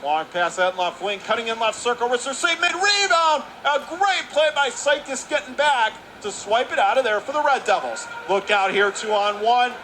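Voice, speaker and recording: A man doing radio play-by-play of a hockey game, calling fast and rising sharply into excitement on goals. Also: very high-pitched. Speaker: play-by-play announcer. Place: arena broadcast position. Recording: noisy arena broadcast.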